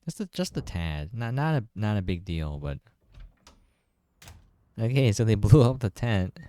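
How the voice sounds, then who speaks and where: A man's voice: on the low side, very animated, measured pace, dark tone, no echo. A man giving casual, rambling, laid-back commentary as he reviews a game.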